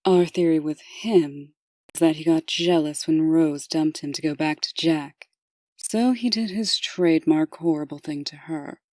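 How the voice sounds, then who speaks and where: A woman playing a composed, efficient deputy, speaking in a measured, formal and dry manner in a comic dub. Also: low voice, a little mellow, dry recording. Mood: dry, businesslike.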